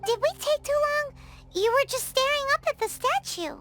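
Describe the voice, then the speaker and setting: A woman's voice: very high-pitched, expressive, moderate pace, a bit bright, soft background music. A woman voicing a tiny, chirpy, childlike fairy companion with a curious, cheeky manner in a video game. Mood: eager, chirpy. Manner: refers to self in third person, eager, exclamatory. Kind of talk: English video game voice acting.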